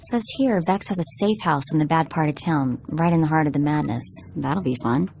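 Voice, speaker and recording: A spunky young tech expert chats and teases in a quick, lively way. Her voice sits a little low for a woman. Faint game music runs underneath. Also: creaky. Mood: perky. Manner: quick, chatty, teasing. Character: young female tech slicer, eager and spunky. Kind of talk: video game dialogue.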